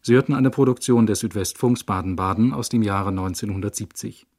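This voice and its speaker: A man's voice: lowish voice, fairly quick, a little mellow. A man working as a radio announcer, formally reading scripted credits.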